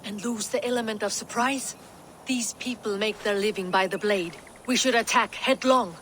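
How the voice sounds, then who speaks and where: A woman acting as a fierce Viking fighter in a dramatic game. She is blunt and aggressive, with a medium-pitched female voice. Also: normal speed, a bit bright, slight background noise, close to an everyday voice. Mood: scornful.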